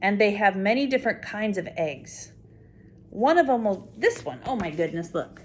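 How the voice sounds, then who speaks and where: An older woman reading a picture book aloud to small children, full of wonder, pausing to explain things simply and add asides. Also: mid-range voice, sing-song. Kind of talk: read-aloud story time with spontaneous asides to a child.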